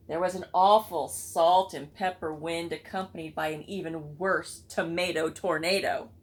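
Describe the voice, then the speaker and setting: A woman reading a children's storybook aloud expressively, with chatty asides to listeners. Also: lowish voice. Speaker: read-aloud book club reader.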